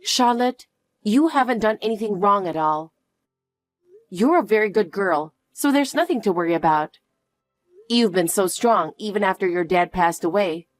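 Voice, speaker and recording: A woman playing a calm, protective mother who speaks softly and reassuringly to her daughter. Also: mid-range voice, no echo, faint music behind, close to an everyday voice. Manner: soft, slow, reassuring. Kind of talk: dramatic dialogue.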